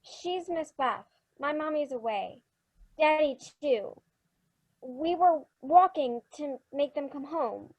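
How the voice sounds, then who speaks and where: A woman acts out a little girl in a radio play. The voice is high and young. The child is inquisitive and stubborn, with an anxious edge. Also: expressive, slow pace, darkish tone, full character voice, poor audio. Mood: subdued. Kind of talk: old-time radio drama acting.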